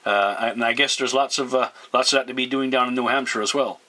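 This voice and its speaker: A man chatting casually as a radio operator, speaking in a polite, deliberate way. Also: mid-range voice, steady tone, very noisy. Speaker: radio operator, video maker.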